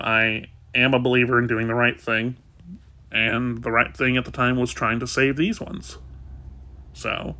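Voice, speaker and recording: Casual vlogger, male, congested and sniffly, soft rambling delivery, mid pitch. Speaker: kitten rescuer. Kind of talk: casual vlog talk.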